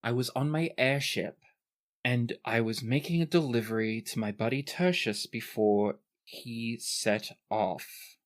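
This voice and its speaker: A man voicing a middle-aged woman who wakes up injured after a shipwreck, asking panicked questions. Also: mid-range voice. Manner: frightened, breathless questions.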